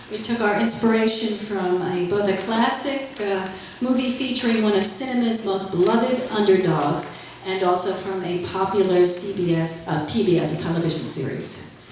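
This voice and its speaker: A woman's voice: medium pitch, darkish tone, a little hiss or hum. A woman who directs a library, hosting a live stage show with chatty, self-deprecating patter and plenty of fillers. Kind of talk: casual emcee patter to a live audience. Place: large echoey room with a live audience. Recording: echoey hall from far off. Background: audience murmurs and laughter.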